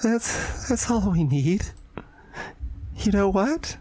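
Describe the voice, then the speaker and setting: A man voicing a shy, obsessive teenage girl from a visual novel, very breathy and hesitant, with stammered, intimate delivery. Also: high voice, expressive, slow pace, mellow, voice pushed up, heavily acted. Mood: fervent, possessive.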